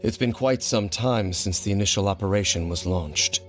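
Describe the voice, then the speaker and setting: An actor playing a brooding ancient male wanderer in a video game, solemn and vengeful, with a grave, formal, measured delivery. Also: lowish voice, faint music behind, lightly acted. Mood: matter-of-fact. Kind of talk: scripted video game voice acting.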